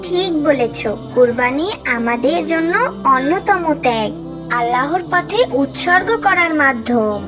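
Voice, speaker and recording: Woman playing high-voiced pious cartoon mother. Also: lively intonation, unhurried, music in the background. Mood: approving, earnest. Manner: earnest, instructive. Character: pious, approving mother teaching her young son. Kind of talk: scripted moral cartoon dialogue.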